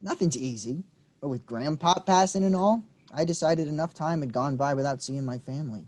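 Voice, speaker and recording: An actor reading a quick-tempered young man, combative and swearing in a staged play reading. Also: fairly high pitch, darkish tone, lightly acted.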